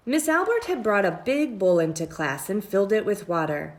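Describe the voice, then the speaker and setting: A woman reads a children's story aloud at a slow, measured pace. Her pitch moves up and down with lots of expression as she draws out phrases. There is faint noise on the recording. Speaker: storybook reader.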